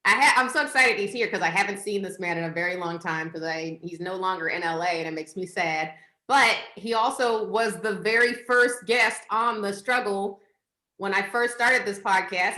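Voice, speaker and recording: A woman's voice: mid-range voice, normal speed, bright, forceful tone, reverberant. Profane laughing woman podcast host. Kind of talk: casual podcast chat over video call. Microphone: video call.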